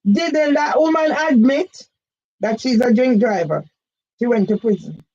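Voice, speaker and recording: An older woman with a Jamaican accent, delivering an agitated, repetitive monologue for her own channel that rises now and then into shouts. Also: on the high side, a little slow, slight background noise. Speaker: vlogger. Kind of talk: impassioned monologue. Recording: dull lo-fi audio.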